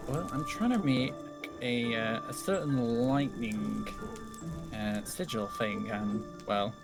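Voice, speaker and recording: A man in a casual, improvised Minecraft roleplay chat, speaking in a hesitant, low-key way. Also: takes it slowly, gravelly. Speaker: guest roleplayer in gaming video. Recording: music under the voice. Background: game music.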